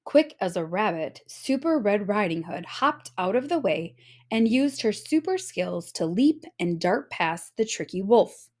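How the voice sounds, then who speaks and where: A woman narrating a children's story aloud in a dramatic, expressive read-aloud style. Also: medium pitch, a little slow. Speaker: storybook reader.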